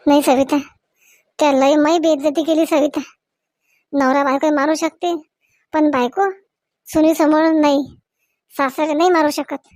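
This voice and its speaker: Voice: sits high, fairly even intonation, moderate pace, pauses often, darkish tone, close to an everyday voice. A performer voicing a stern, domineering village husband and father-in-law in a comedy cartoon, with a Varhadi Marathi accent, repeating questions as demands. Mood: reproachful. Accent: Varhadi Marathi from Vidarbha.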